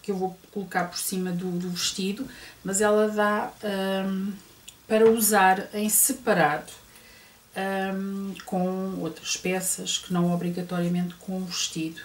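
A woman's voice: medium pitch, flat delivery, unhurried. A middle-aged woman with a European Portuguese accent, chatting to viewers as she shows off clothes she bought, with lots of fillers and hesitations. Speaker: fashion vlogger. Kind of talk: casual clothing haul show-and-tell. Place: bedroom at home, indoors. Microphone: camera across the room. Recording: roomy distant camera audio with faint hiss.

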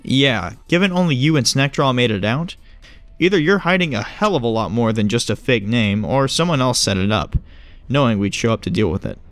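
A man's voice: mid-range voice, expressive, a little fast, no echo, soft background music, natural voice. A man playing a smug, casual agent who explains things glibly in audio drama dialogue. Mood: measured, probing. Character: breezy, smug male agent who knows hidden things.

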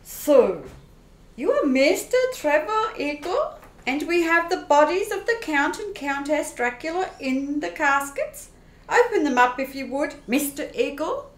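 Bureaucrat, played by a woman: high, formal, sceptical, radio play delivery in an echoey room. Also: very animated, unhurried. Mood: officious. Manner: formal, officious. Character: officious, sceptical female government clerk. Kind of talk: old-time radio play acting. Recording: roomy echo.

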